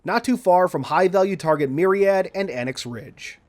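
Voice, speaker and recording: A man reading a scripted video game walkthrough in a measured, orderly, instructional way. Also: sits high, a little mellow. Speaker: video game guide narrator. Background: background music.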